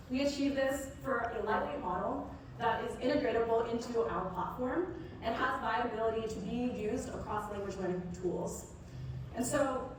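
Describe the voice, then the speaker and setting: Woman, startup presenter, rehearsed pitch, fairly high voice, heavy room echo, clear background noise. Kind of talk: rehearsed demo-day pitch. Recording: echoey hall from far off, noisy.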